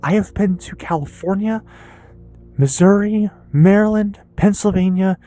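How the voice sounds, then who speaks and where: A woman in her early twenties with an American accent talks candidly and casually in a confessional personal vlog, rambling haltingly with pauses and some swearing. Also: medium pitch, mellow, no echo, studio quality, soft background music. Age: early 20s. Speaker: vlogger and streamer.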